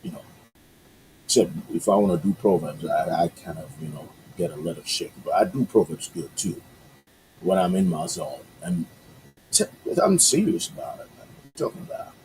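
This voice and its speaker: A man's voice: low-pitched, expressive, moderate pace, darkish tone, slight background noise, poor audio. A male radio host whose first language is Yoruba, chatting casually on a morning show and rambling with lots of fillers and restarts. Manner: rambling, many fillers and restarts, upbeat asides.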